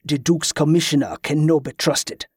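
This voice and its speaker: A man's voice: a little high, fairly even intonation, normal speed, slightly rough, dry recording, clean studio sound. A man voicing a loyal, wary islander who talks in quaint dialect grammar in an audiobook story. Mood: earnest, wary.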